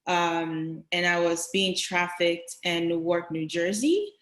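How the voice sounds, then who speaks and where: A woman from Togo in West Africa speaking as a panelist on a video call, hesitant and pausing often. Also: fairly low pitch, a bit bright. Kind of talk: self-introduction on a panel. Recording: roomy online video call.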